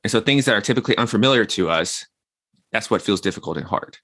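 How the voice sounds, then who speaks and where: Bright-voiced, lower-pitched man in his thirties. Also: expressive, fast talker, slightly rough, dry recording. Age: 30s. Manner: reflective, uses everyday analogies. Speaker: guest, health consultant. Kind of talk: conversational interview.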